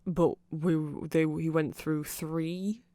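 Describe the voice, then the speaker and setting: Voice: medium pitch, little pitch movement, takes it slowly, warm tone, no room sound. Rambling, playful ASMR explainer with a dry close sound. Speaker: ASMR creator explaining band lore.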